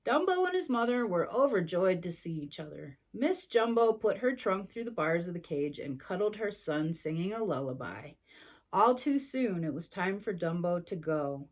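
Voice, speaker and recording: A woman's voice: on the low side, sing-song, moderate pace. A woman reading a storybook to young children expressively, adding sympathetic little asides.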